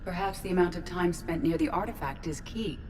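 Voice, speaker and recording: An actress voicing a composed, analytical artificial intelligence crew member in a video game, with precise, measured and formal speech. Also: female voice, on the low side, background noise, lightly acted. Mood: calm, analytical.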